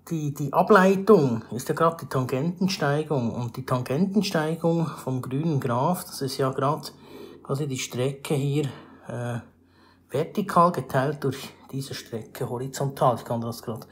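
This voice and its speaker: A male math teacher with a Swiss German accent explains a math problem step by step over a screen recording, with many pauses and restarts. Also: mid-range voice. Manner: formal address to viewers, rising emphasis on new steps.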